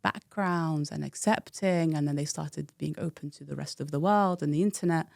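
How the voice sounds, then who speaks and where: Gravelly-voiced woman telling her story. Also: deep voice, normal speed, a little mellow, no echo, studio quality. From United Kingdom. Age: 30s. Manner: reflective, frank storytelling with frequent fillers. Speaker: guest. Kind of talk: candid personal interview.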